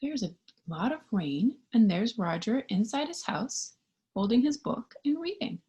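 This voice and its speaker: A woman with a high-pitched voice reads a children's picture book aloud. Her delivery swings widely in pitch and is expressive, clearly aimed at little listeners. She takes her time at a slow, deliberate pace. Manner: expressive, child-directed, with questions and sound effects. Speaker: storytime reader for young children.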